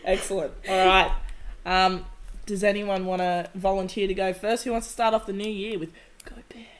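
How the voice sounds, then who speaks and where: A woman hosts a casual comedy podcast among friends. She is chatty and playful, swears freely, and speaks at a middling pitch in an informal conversational style. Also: little pitch movement, normal speed. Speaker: podcast host. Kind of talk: casual comedic group chat.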